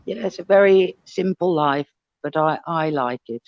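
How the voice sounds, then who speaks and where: A man's voice: a little high, normal speed, no echo, highs cut off. A man chatting casually on an online panel, friendly, with laughs between phrases. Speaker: panel guest.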